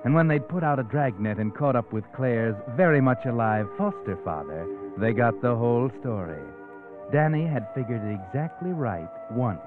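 An American actor playing a mysterious, sardonic crime narrator in a 1940s radio drama, knowing and teasing. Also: male voice, medium pitch, expressive, moderate pace, lightly acted. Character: mysterious, sardonic male narrator who knows dark secrets. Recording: tinny band-limited 1940s radio recording, music. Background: music.

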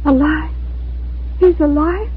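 A woman's voice: sits high, slow. An actress playing a distraught young woman pleading for her sweetheart, urgent and emotional in 1940s radio style. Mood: desperate pleading. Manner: tearful, urgent, emotional delivery. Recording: tinny, faintly noisy 1940s radio recording.

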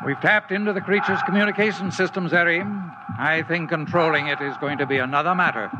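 An actor playing a frank, loyal alien second-in-command, earnest and crisp in 1950s radio drama style. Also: male voice, a little high, steady tone, a little fast, natural voice. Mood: concerned. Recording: muffled, noisy old radio recording.

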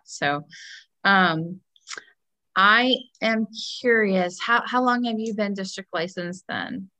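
A woman's voice: lowish voice, bright, forceful tone, no echo, rough recording. A woman hosting a conversational interview, asking questions and candidly sharing her own experience. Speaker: podcast host, interviewer.

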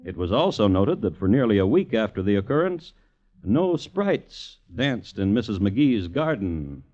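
A man narrating a 1950s radio crime drama as its host, in a measured, literary storytelling manner. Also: lowish voice, big pitch swings, a little mellow. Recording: muffled old radio recording with music behind.

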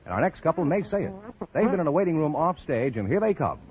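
Old-time radio announcer guy, really animated delivery, muffled sound with a bit of noise. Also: on the high side, quick pace. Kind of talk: 1940s radio announcing and prize copy. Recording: dull, noisy vintage radio recording.

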